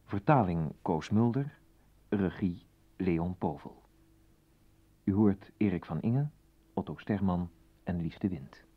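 A man's voice: sits low, animated, slow, pauses often, breathy edge. A man formally reading a scripted introduction to a radio play as its announcer. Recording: dull vintage radio recording.

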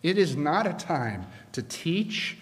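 An older man preaching a sermon from a church pulpit, earnest and measured, with slow emphatic pauses. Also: a little high, sing-song. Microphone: pulpit stand mic. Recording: long reverb of a church hall.

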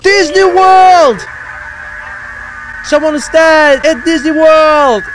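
A man's voice: very high-pitched, extremely slow, fairly bright tone, soft background music, poor audio. A young man giving casual, exclamatory, sweary reaction commentary into a close desk microphone in a home gaming room. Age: young adult. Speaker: YouTube reaction host. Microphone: close desk microphone on boom arm.

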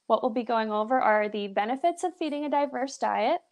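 A young woman with a slightly high voice leads a live online seminar from a room at home. She speaks into her webcam mic in an upbeat, encouraging way and keeps linking her points with "so". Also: expressive, fairly quick, darkish tone. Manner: upbeat, encouraging, with frequent "so" and "awesome". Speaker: brand representative presenting a pet nutrition webinar. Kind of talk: live webinar presentation. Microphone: webcam on a video call.

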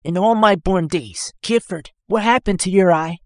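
A man's voice: high-pitched, normal speed, darkish tone, dry recording, clean studio sound, pitched above the performer's own voice. A man voicing a slender, cautious young innkeeper in an audiobook, with a folksy, fretful manner. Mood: scolding.

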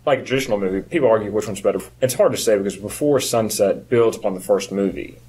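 A man's voice: fairly low pitch, fast talker, darkish tone, slightly rough, poor audio. A man co-hosting a podcast in a casual, conversational style, explaining things and giving his opinions. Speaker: podcast co-host. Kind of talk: casual chat.

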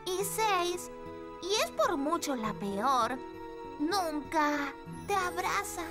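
Very high, lively little cartoon girl. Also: extremely slow, full character voice. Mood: emphatic, earnest. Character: sweet, earnest, supportive little girl cartoon heroine. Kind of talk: animated children's series dub. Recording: music bed behind the voice.

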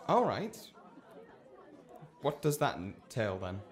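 A man's voice: medium pitch, big pitch swings, takes it slowly, a faint crowd. A man playing a polite, awkward, dry data clerk on a date in a radio play, speaking in a hesitant, stilted way. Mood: politely awkward. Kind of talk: radio play acting, conversational.

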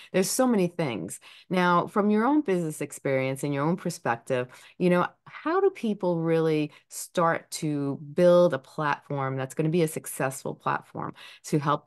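A woman hosting a podcast over a video call, asking long questions with many fillers. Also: low-pitched. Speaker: podcast host. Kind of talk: interview host. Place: home room. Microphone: video call webcam.

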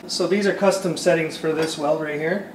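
A man in his forties, a shop foreman, giving a relaxed walkthrough of machine settings in a workshop. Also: a little high, moderate pace. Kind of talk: casual technical walkthrough. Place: indoor workshop at a laser welder. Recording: echoey workshop, faint background hum.

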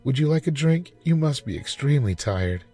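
A storybook housewife, played by a man, tearful and courteous. The voice is lifted high and lively, with coaxing politeness. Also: fairly quick, slightly rough, soft background music. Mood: solicitous. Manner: courteous, pleading.

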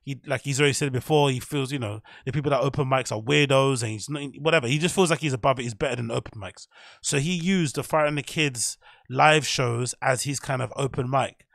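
A man's voice: mid-range voice, brisk, gravelly, fairly bright tone, no room sound, clean studio sound. A man hosting a podcast, giving a casual, rambling commentary full of opinions and self-corrections. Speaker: podcast host.

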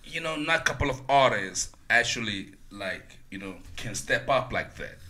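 Casting: male hip hop podcast host, strikingly forceful bright tone, mid pitch, slangy and repetitive. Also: expressive, moderate pace. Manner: slangy, repetitive hype interjections. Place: small studio room indoors. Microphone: studio microphone, close.

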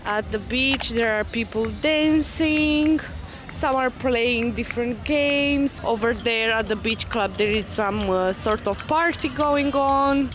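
Unhurried woman vlogging. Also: a little high, noisy background. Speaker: travel vlogger. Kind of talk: casual vlog narration to camera. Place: outdoors, beach and lakeside woodland.

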